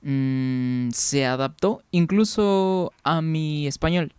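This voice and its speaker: A man's voice: fairly high pitch, slow, darkish tone, clear, resonant tone, no echo. A young man from Peru, chatting casually with viewers during a game stream, rambling along and answering their comments.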